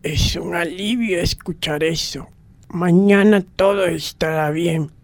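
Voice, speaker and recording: A woman playing a gruff but caring bookish man, grumbling and unhurried, in a radio drama. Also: lowish voice. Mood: concerned. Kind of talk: amateur radio drama acting.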